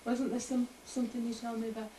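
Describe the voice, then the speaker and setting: A woman's voice: fairly high pitch, level tone, fast talker, mellow, roomy sound, a little hiss or hum, poor audio. A woman interviewing an older relative, prompting and coaxing her with questions in a casual family chat.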